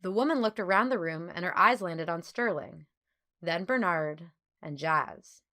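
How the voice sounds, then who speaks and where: A woman reading a children's story as an audiobook narrator, with clear phrasing and expressive delivery. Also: on the low side, measured pace.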